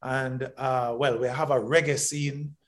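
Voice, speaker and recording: Guy hosting a podcast, mid-pitched voice, keen, repeats himself a lot. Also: little pitch movement, a little slow. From Trinidad and Tobago. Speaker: podcast host. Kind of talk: casual interview chat.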